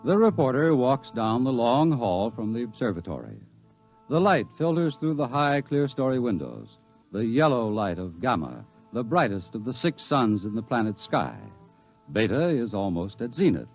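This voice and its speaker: A man's voice: medium pitch, big pitch swings, moderate pace. A man narrating a radio drama in a dramatic, scripted storytelling style. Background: faint music.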